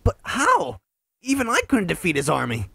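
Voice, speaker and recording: A performer voices a brash, teasing hedgehog hero in a fan audio drama. The voice is husky and the pitch jumps around a lot. The recording is dry and close. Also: wildly swooping pitch, moderate pace, sharp tone, no echo, heavily acted. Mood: curious. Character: cocky playful male hedgehog hero.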